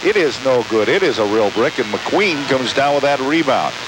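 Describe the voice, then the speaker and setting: A man's voice: mid-range voice, lively intonation, normal speed. A man doing live radio play-by-play of a basketball game, calling the action in clipped present-tense phrases. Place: courtside in an indoor sports dome. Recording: very noisy old radio recording with hiss.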